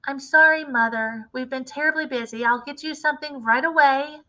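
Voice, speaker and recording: A woman's voice: high-pitched, brisk, sharp tone, pitched above the performer's own voice, low-quality recording. A woman voicing a sceptical farmer's wife who nags and frets. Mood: nagging doubtful. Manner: sceptical, fretful. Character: doubting, nagging farmer's wife.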